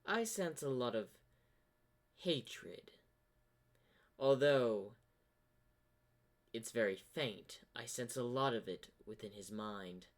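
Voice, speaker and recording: A sinister corrupted zebra stallion played by a man, commanding and ominous. He speaks slowly and with menace, like the dark mastermind of a cartoon fan story. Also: mid-range voice, animated. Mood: scheming.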